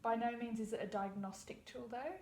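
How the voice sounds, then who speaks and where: Gravelly-voiced woman practitioner. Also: a little high, fairly even intonation, brisk, mellow. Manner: explains step by step, reassuring. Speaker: iridology practitioner. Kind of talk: practice consultation. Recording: echoey room, faint background hum.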